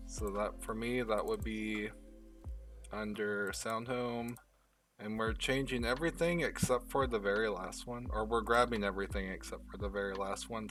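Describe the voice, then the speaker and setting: A man narrating a step-by-step software tutorial in a casual, informal way, talking through each step as he does it. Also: fairly low pitch, distant mic. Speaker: tutorial host. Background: background music.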